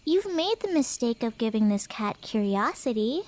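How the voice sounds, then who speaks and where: Woman acting as a high-voiced, teasing cat girl. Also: big pitch swings, normal speed, darkish tone. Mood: prying, teasing.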